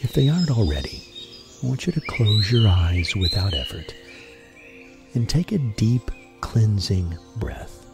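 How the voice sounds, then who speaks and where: A man guiding a sleep meditation in a gentle, deliberate, breathy voice with long pauses. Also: lowish voice, very animated. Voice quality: husky, breathy. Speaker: meditation guide and host. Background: music.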